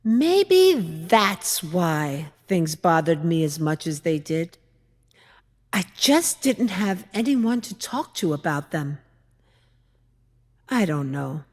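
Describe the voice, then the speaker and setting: A woman playing a fretful, opinionated widowed mother-in-law from biblical times in a confiding, deliberate dramatic monologue. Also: on the low side, big pitch swings, heavily acted. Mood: wistful. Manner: confiding, storytelling, deliberate with rhetorical pauses. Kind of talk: dramatic monologue reading in church. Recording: echoey hall with long reverb.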